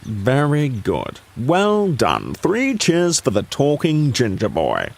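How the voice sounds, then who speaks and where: A sly, sardonic fox from a children's audio story, acted out by a man. The drawl is slow and unhurried, with a gravelly creak in it. It feigns indifference while sliding up and down in pitch. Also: huge pitch swings, slight background noise, heavily acted. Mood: feigned indifference.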